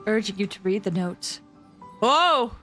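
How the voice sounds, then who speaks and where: A woman's voice: medium pitch, a bit bright. A woman livestreamer reacting to a game in a casual, effusive way, exclaiming and repeating herself. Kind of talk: casual excitable live reaction chat.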